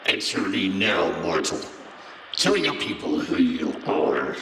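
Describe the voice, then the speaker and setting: A man's voice: sing-song, slow, fairly bright tone, slight background noise, heavily acted. A man playing a sneering, imperious blue alien invader in a fan fiction audio drama, with a menacing, taunting delivery. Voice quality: menacing, booming. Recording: echoey long reverb, music behind.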